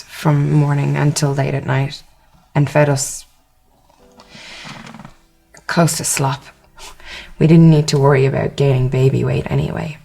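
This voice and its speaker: A woman's voice: rumbling low, vocal fry, darkish tone, full character voice. An actress playing a resigned, shamed mother giving a restrained, sombre first-person testimony. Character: resigned, shamed woman who lost her baby.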